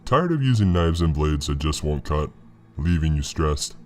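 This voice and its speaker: Man playing a deep, distorted infomercial killer. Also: sing-song, moderate pace. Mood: cheerful sales pitch. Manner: salesman's pitch, falsely cheerful. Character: masked male infomercial killer, falsely cheerful salesman, menacing. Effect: distorted TV voice filter. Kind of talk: infomercial parody in horror narration. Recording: music behind.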